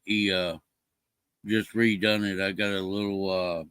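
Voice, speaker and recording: A man's voice: sits low, little pitch movement, a little slow, fairly bright tone, smooth, no room sound. An older man chatting casually on a livestream, rambling with many fillers and restarts. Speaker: livestream co-host. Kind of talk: casual chat.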